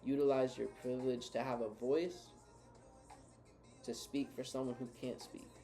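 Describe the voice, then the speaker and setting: Earnest male co-host with a gravelly, warm voice; reflective, advising. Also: medium pitch, flat delivery, normal speed, faint music behind. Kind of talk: reflective casual talk.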